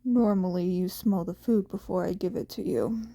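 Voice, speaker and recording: A woman voicing a tired, gruff adult male pro hero in an audio story, deadpan and measured. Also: lowish voice, voice pushed down. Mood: flat, serious.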